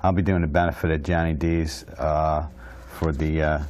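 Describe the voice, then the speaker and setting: A man's voice: very deep voice, flat delivery, darkish tone. A man in his forties hosting a call-in show from a small TV studio, talking in a casual, agreeable way. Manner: casual, agreeable, quick interjections. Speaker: host.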